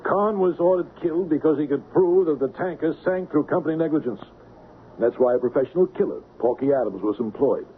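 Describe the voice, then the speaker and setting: An actor playing a shrewd, persistent police lieutenant in an old radio crime drama, with a dry, probing manner. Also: male voice, fairly high pitch, brisk, natural voice. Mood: pressing, tough. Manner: dry, probing, hesitant lead-ins. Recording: narrow, noisy old radio broadcast.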